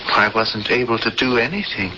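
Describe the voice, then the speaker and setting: A man's voice: medium pitch, moderate pace, pushed and bright, highs cut off. An actor playing an earnest, resigned male alien prisoner in a 1970s radio drama, on an old hissy recording.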